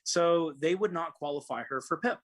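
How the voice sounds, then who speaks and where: A man's voice: a little high, normal speed, a bit bright. A young man from Los Angeles, a personal injury attorney, talking casually as a guest with lots of fillers and restarts. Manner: rambling, many fillers and restarts. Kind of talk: casual call-in talk.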